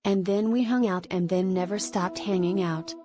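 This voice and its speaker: A woman narrating a scripted celebrity news article in a measured read-aloud manner. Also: mid-range voice, fairly even intonation. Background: music.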